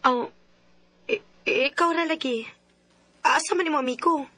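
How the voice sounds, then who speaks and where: A woman's voice: high voice, moderate pace, pushed and bright, no room sound. A woman with a Cebuano accent acting a secretive, emotional young lover with a hesitant, plaintive manner in a radio drama. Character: secretive, emotional young woman torn between two men. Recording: faint background noise.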